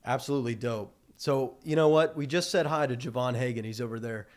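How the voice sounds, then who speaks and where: A man's voice: mid-range voice, brisk. 20yo guy hosting a chill studio podcast, rambly, lots of likes and um. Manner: loose, rambling, many fillers and restarts. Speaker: podcast host. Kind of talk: casual chat. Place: small college podcast studio. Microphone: studio mic on boom arm.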